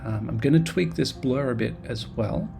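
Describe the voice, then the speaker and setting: A man's voice: medium pitch, normal speed, gravelly, a little mellow, soft background music. A man casually narrating his game development devlog, rambling and self-deprecating, with frequent ums and restarts. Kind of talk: casual devlog narration over screen recording.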